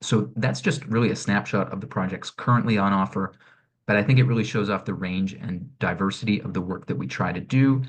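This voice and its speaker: Low-voiced man presenting an online session. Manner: measured, explanatory, frequent "um" and "sort of". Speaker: director of programming presenting an arts network. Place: home or office, online session. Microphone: computer mic on a video call.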